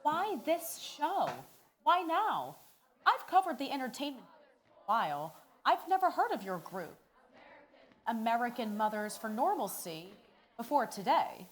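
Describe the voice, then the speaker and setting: A wry female entertainment reporter from a radio play. The voice is on the high side and moves freely up and down, with dry skepticism in every probing question. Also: very animated, unhurried. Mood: skeptical.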